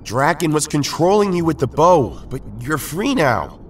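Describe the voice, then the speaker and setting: A man playing a steady, wry heroic team leader in a superhero audio drama. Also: on the high side, a little slow, a little hiss or hum. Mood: earnest, resolved.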